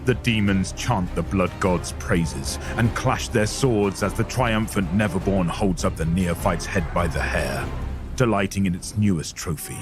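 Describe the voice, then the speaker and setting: A man's voice: low-pitched, unhurried. A man narrating a scripted audio story in a dramatic style. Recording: clear music bed under voice. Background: dramatic music.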